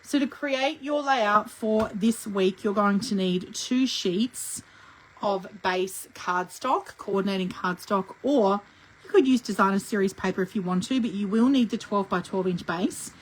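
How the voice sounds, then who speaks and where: A middle-aged woman leads a live scrapbooking lesson, talking through each step in a chatty, friendly way. Her voice is croaky from a cough that hasn't gone away, and she clears her throat now and then. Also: medium pitch, a little slow, poor audio. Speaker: scrapbooking instructor. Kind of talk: live craft tutorial.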